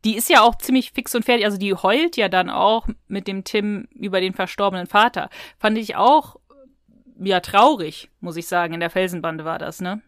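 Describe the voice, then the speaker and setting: A woman's voice: medium pitch, normal speed, dry recording, clean studio sound. A woman co-hosting a podcast, giving frank opinions in casual, colloquial chat about audio dramas. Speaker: podcast co-host.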